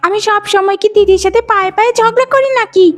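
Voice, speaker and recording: Cartoon role: spiteful, lazy young daughter-in-law, very high whining voice, faint music behind. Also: female voice, fast. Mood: indignant. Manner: whining, sharp-tongued complaining. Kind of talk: Bengali cartoon voice acting.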